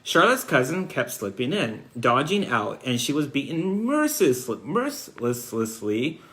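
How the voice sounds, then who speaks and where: A man reading aloud as an earnest, imaginative little farm girl in a storybook, insistent and excited as a storyteller. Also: mid-range voice, measured pace. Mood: eager, insistent.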